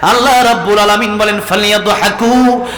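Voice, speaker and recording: Bangladeshi guy giving a fired up waz sermon, sharp bright voice, half chanting at times, tons of echo on the speakers. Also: sits high, steady tone, normal speed. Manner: impassioned, exhorting, calls for responses. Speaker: Islamic preacher. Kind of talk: waz sermon to a congregation, swinging between plain talk and drawn-out melodic chanting. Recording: echoing PA sound with long reverb.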